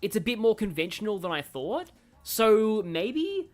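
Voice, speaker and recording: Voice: mid-range voice, sing-song, unhurried. A game streamer giving casual, rambling livestream commentary, chatting and reacting aloud to the game. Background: game music.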